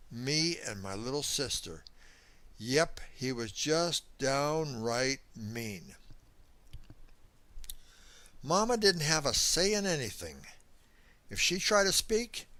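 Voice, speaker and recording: Animated male storyteller, folksy and dramatic. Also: medium pitch, no room sound. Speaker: audiobook narrator. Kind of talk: first-person scripted story narration.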